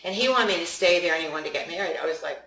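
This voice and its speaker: A woman's voice: on the low side, lively intonation, rapid-fire, fairly bright tone, a little hiss or hum. Older woman, chatty storyteller. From West Virginia. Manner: chatty storyteller, laughs often. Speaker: author, interview guest. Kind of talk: casual interview conversation. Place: studio. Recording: roomy studio sound, mic at a distance.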